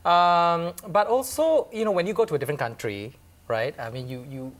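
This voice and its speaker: A man's voice: on the high side, normal speed. A Singaporean man on a discussion panel, speaking animatedly in Singaporean English with laughing asides. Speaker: panel host.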